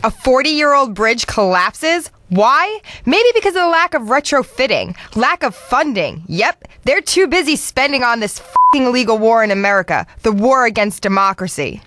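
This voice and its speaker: A woman's voice: a little high, big pitch swings, moderate pace, hardly any pauses, fairly bright tone, no echo. A woman acting out a bitter, scornful blogger. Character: bitter, scornful left-wing female blogger. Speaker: radio show reader. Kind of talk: dramatic reading of angry blog posts.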